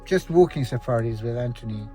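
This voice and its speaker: A man with a mid-range voice talks casually outdoors on a safari drive, explaining things in a relaxed way. Clear music plays under his voice. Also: medium pitch, a little fast.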